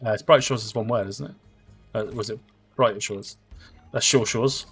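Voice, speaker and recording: A man's voice: lowish voice, steady tone, normal speed, fairly bright tone, breathy edge, faint music behind. A man in his thirties chatting casually with viewers while he livestreams a game, rambling with lots of fillers and answering comments. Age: 30s.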